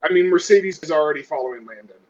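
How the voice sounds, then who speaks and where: A man's voice: fairly high pitch, quick pace, lo-fi sound. A man talking casually as a player in a tabletop role-playing game.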